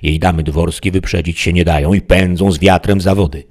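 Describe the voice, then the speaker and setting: A man doing an excitable, flattering squire. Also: deep voice, fairly quick. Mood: excited. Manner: effusive, pleading.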